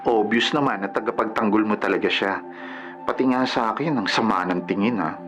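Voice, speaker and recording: A man's voice: fairly low pitch, fairly quick, natural voice. An actor playing a chatty, frank young man, a concerned friend, in a radio drama. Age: young adult. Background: music.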